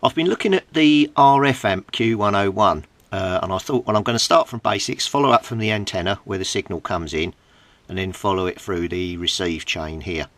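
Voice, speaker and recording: A man's voice: lowish voice, fairly quick, a bit bright, no room sound. A man from Britain walking through a radio repair step by step in a plain, methodical way, thinking aloud with small fillers. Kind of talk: technical repair walkthrough.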